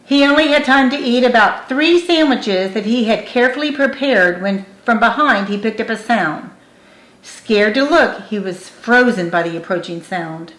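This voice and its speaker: A woman's voice: fairly high pitch, expressive, moderate pace, fairly bright tone. A woman reading a scripted story aloud as an audiobook narrator. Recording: roomy, echoing room.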